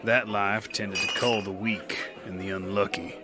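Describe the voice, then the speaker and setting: A man's voice: on the low side, flat delivery, unhurried, over loud music, natural voice. A man narrating and playing a rugged, weary gunslinger in an audio drama, with a dry, terse delivery. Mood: steady. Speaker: narrator and lead.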